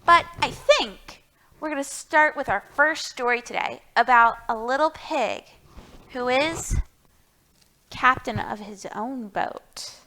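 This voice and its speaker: A woman's voice: high-pitched, animated, slow, sharp tone, rough recording. A woman hosting a library storytime, talking to young children and reading picture books aloud with questions and emphasis.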